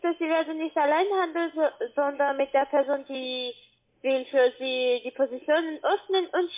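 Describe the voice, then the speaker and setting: A woman telemarketer with a foreign accent, giving a halting sales pitch by phone in non-native phrasing. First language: not German. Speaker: cold-calling telemarketer. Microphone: telephone. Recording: narrow-band phone line.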